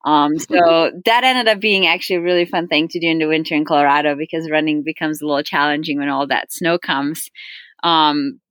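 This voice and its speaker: A woman's voice: deep voice, a little fast, no echo. A young woman from Slovenia, a guest athlete, talking casually in an interview with plenty of ums. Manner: relaxed, many ums, friendly.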